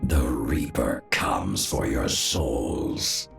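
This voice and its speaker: A man voicing a menacing, soul-harvesting reaper in a video game, with a growling, gravelly voice. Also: very deep voice, lively intonation, a bit bright, heavily acted. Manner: slow, menacing. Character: grim male reaper, menacing harvester of souls. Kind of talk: video game character voice lines. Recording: echoing, music underneath.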